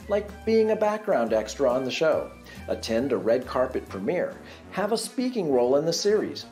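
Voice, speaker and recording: A man's voice: on the high side, a little mellow. A man delivering scripted promotional narration as a campaign presenter. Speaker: campaign presenter and film maker.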